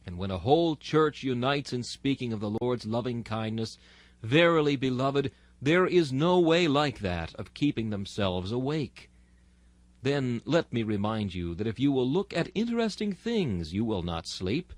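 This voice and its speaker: A man's voice: medium pitch, lively intonation, normal speed, dry recording. A man reading a sermon in a solemn, exhorting, oratorical manner. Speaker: narrator reading a historical sermon.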